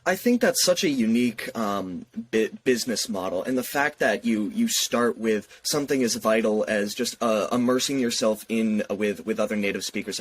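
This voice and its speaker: A young man with a husky, slightly low voice conducts a casual interview. He feels his way through sentences, repeating words as he goes. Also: poor audio. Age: young adult. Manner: hesitant, repeats words as he formulates.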